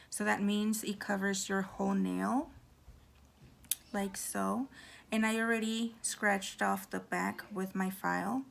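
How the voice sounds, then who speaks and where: A woman with a hoarse, raspy voice giving a casual at-home nail how-to, chatty with lots of fillers. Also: mid-range voice, slight background noise. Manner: chatty, filler-heavy, drops into whispers. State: hoarse from talking. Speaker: nail tutorial vlogger.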